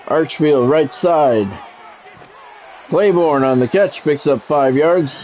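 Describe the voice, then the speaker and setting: A man calling live play-by-play of a football video game in measured, short clipped announcer phrases. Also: fairly high pitch, a little mellow, some chatter behind, a little hiss or hum. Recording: dull lo-fi audio with music behind.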